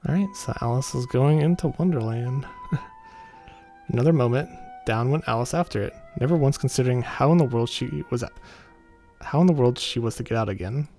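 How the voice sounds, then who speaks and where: Male gaming host, medium pitch, informal read-aloud with off-the-cuff comments and small stumbles, clear music bed. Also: moderate pace, mellow. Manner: informal, stumbles over text and rereads. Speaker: gaming video host reading a visual novel aloud. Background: background music.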